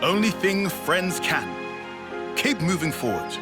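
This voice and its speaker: A man's voice: high-pitched, very animated, a little slow. A man voicing a fussy, sensible small creature tinkerer in a video game, lecturing drily in clipped broken English. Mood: matter-of-fact. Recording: loud game music underneath.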